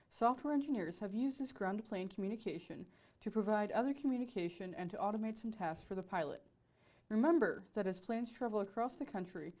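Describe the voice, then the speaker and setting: A woman's voice: medium pitch, a little mellow. A woman narrating a scripted educational explainer as a presenter.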